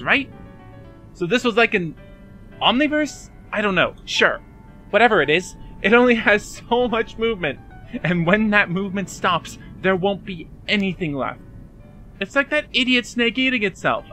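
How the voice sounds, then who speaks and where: A man performs an anguished young man in an audio drama, with a high, bright and forceful voice. He rambles emotionally and doubts himself, with music playing behind him. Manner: emotional, rambling, self-deprecating. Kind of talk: fan audio drama acting.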